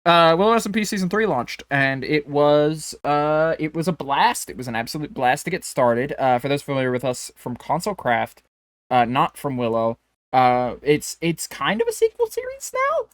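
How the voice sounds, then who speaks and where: A man's voice: a little high, sing-song, moderate pace, no echo. A man co-hosting a podcast in casual chat, bantering jokily and swearing freely. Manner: profane, jokey bantering. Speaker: podcast co-host.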